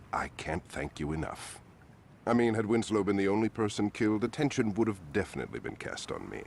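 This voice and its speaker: A middle-aged crooked politician in a game with a very deep, gravelly voice. He talks at length, genteel and condescending, savoring a theatrical villain monologue with wide swings in tone. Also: slight background noise, heavily acted. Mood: smooth gracious. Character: smug, scheming middle-aged male politician.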